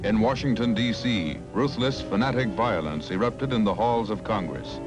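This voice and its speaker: A man's voice: lowish voice, normal speed, music in the background. A man with an American accent giving grave, emphatic scripted newsreel narration on a vintage 1950s soundtrack.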